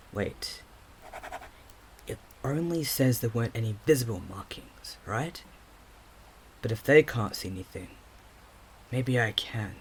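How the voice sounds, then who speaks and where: A man playing a restless, overthinking investigator with magical sight in an audio drama, muttering haltingly to himself. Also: fairly low pitch, a bit bright, slight background noise.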